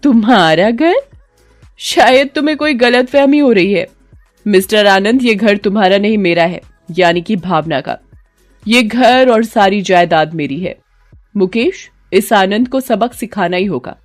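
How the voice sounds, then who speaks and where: A woman's voice: mid-range voice, expressive, unhurried, natural voice. A woman voicing a rude, scheming young impostor daughter-in-law in a storybook tale, insolent, bossy and taunting, with music behind. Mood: menacing, gloating.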